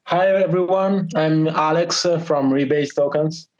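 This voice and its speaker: An Italian man speaking English as a second language, with a clear Italian accent. His voice sits a little high. He reads out community questions carefully on an online audio call. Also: fairly even intonation, unhurried, highs cut off. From Italy. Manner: apologetic, careful.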